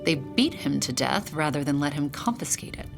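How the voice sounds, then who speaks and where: A woman's voice: low-pitched, expressive, moderate pace, vocal fry. An actress playing a blunt, wry young aristocratic lady in an audio drama. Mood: dry, challenging. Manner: dry, wry, challenging. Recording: music behind the voice.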